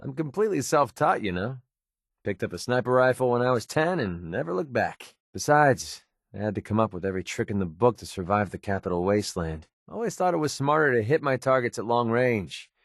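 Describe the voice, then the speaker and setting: Wry young American mercenary, close and dry. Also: male voice, medium pitch, sing-song, brisk, no echo. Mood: laid-back. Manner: sarcastic, casual, streetwise. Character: young cynical mercenary sniper, wry and guarded. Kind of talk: video game character dialogue.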